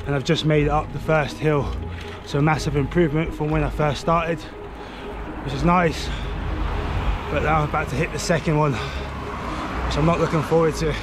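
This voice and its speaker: A breathless cyclist in his thirties talks straight into the bike-mounted camera on an open road, his voice sitting high for a man. He pushes his words out between pedal strokes, and loud music plays under everything he says. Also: steady tone, moderate pace. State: out of breath and sweaty. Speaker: cycling vlogger. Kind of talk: casual vlog while riding. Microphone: action camera mounted on the bike.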